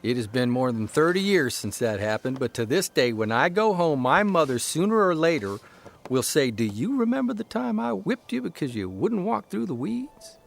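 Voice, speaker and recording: A man's voice: a little high, sing-song, normal speed, gravelly. An actor playing a grown man who tells, in a reflective, storytelling way, of his strict mother in a radio drama. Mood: wistful. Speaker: narrator and lead character.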